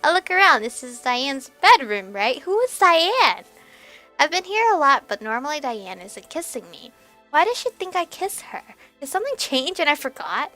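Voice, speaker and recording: Young woman let's player reading out a visual novel, high bright voice, expressive, music in background. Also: normal speed. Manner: expressive, animated. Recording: music behind the voice.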